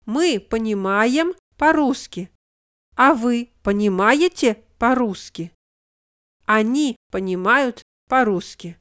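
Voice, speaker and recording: A woman narrating a scripted language lesson, speaking model phrases slowly and with clear enunciation. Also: on the high side, animated, fairly bright tone.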